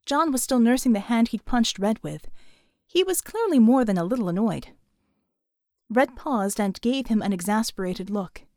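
A woman's voice: fairly high pitch, lively intonation, normal speed, a little mellow, no room sound, studio quality. A woman reading scripted fiction as an audiobook narrator.

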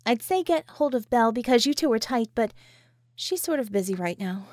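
A woman voicing a brisk, sassy but caring female friend in an audiobook, with breezy, slangy, bossy delivery. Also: on the high side, expressive, darkish tone, no room sound, natural voice. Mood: brisk, instructing. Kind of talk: audiobook character dialogue.

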